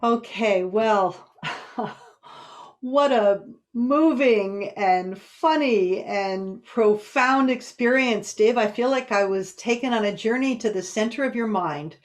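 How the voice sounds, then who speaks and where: Female event moderator, slightly high voice, unhurried, appreciative and a bit hesitant. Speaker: host and moderator. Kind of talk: post-show event hosting.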